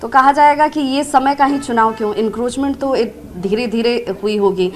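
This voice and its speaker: A woman's voice: on the high side, normal speed. A woman working as a TV news interviewer, putting formal questions in a broadcast interview. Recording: noticeable background ambient noise.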